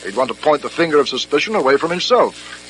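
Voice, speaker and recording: A man's voice: a little high, very animated, fast, a bit bright. A man playing a dutiful, matter-of-fact police officer in an old British radio mystery, with a British accent. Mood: dutiful, businesslike. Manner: matter-of-fact, questioning, deferential to superiors. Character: plain-spoken, dutiful male police officer. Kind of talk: old-fashioned British radio drama acting. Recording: noisy vintage radio recording with hum.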